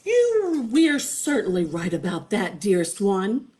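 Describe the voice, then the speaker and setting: A woman's voice: medium pitch, huge pitch swings, a little slow, dark tone, voice pushed up. A woman voicing a lazy, bossy wizard's wife in a storybook, sugary sweet and wheedling. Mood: sweetly scheming.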